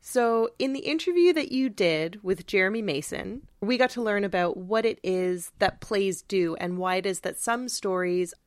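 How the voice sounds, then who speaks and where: A woman's voice: mid-range voice, moderate pace, hardly any pauses, no echo. Thoughtful woman co-host, dry close sound. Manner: thoughtful, tentative phrasing. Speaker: podcast co-host. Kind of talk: casual conversational literary discussion.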